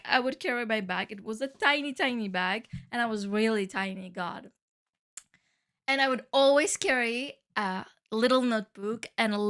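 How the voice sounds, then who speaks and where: A young woman from Northern Cyprus, a native Turkish speaker, musing softly about how she started creating. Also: fairly high pitch. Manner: soft, musing, many fillers. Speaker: musician sharing her story. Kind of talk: reflective personal monologue.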